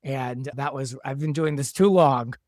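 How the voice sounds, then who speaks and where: A man speaks at a middle pitch in a very dry recording. He talks as a writer and producer in a casual behind-the-scenes discussion, explaining things in a conversational way. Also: unhurried, a little mellow, no echo. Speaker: soap opera writer and producer, guest.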